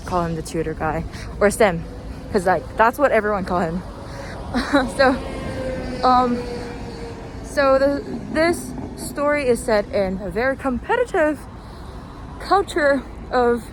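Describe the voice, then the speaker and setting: Chirpy, slow-paced woman chatting over street noise. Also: mid-range voice. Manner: giggly, chuckles often between phrases; halting, peppered with "like". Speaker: host of a personal walk-and-talk podcast. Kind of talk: casual rambling solo chat. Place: outdoors, walking along a street. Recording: clear outdoor street and car noise. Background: passing cars, urban outdoor ambience.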